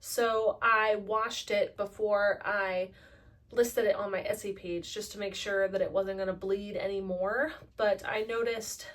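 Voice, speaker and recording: A woman in her late twenties chatting casually about her crafting projects in a relaxed monthly update, with the odd self-deprecating joke. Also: mid-range voice, a little slow, distant mic. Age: late 20s. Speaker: crafting vlogger.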